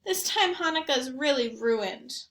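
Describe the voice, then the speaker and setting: A woman voicing an excitable, affectionate young girl in a picture-book read-aloud, expressive and exclamatory. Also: high-pitched, unhurried, roomy sound, exaggerated voice. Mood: upset.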